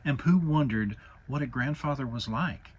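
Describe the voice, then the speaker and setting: A man's voice: medium pitch, moderate pace, breathy edge. A man narrating a children's storybook as a dramatic audiobook reading. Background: music.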